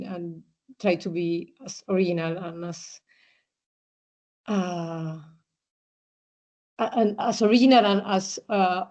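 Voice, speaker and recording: A woman giving a talk as a guest speaker, halting often with fillers and restarts, in fairly fluent English. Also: fairly low pitch, a little mellow. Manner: hesitant, many fillers and restarts. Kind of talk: online presentation talk. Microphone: video call. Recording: muffled video call audio.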